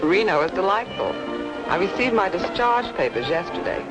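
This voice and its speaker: An actress playing a cool, wry divorced socialite in a mid-century drama, speaking in an arch, clipped manner. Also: female voice, on the low side, sing-song, normal speed, over loud music, poor audio. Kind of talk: mid-century American film drama acting.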